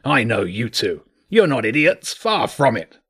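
Gruff badger monarch for a children's audiobook, performed by a man: husky, imperious, terse, highly animated delivery. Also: unhurried, heavily acted. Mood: menacing. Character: gruff, commanding old badger king, menacing. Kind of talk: audiobook character voice.

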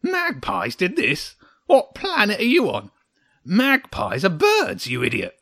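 A man voicing a grumpy, defensive little old hedgehog guard in a children's audiobook, indignant and mocking in a high, creaky voice. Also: wildly swooping pitch, slow pace, a bit bright, dry recording, clean studio sound, full character voice. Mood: scornful. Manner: indignant, spitting, mocking, exclamatory. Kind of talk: children's audiobook character acting.

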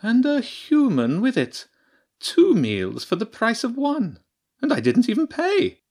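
A man's voice: very high voice, huge pitch swings, measured pace, darkish tone, pitched above the performer's own voice, full character voice. A man doing a sardonic female badger priestess. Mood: mocking. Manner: dry, mocking, clipped. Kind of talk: audiobook character voice.

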